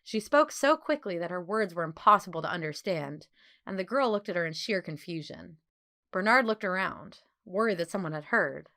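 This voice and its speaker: A woman reading a children's story as an audiobook narrator, with clear phrasing and expressive delivery. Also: lowish voice, moderate pace.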